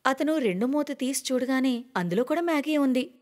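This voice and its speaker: A woman's voice: sits high, lively intonation, a little fast, a little mellow. A woman narrating a scripted moral story. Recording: long reverberant hall echo.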